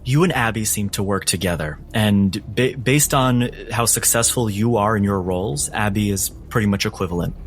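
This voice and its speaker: A man's voice: fairly low pitch, normal speed, few pauses, a little hiss or hum. A man working as game master, narrating a tabletop game in a theatrical, emphatic way.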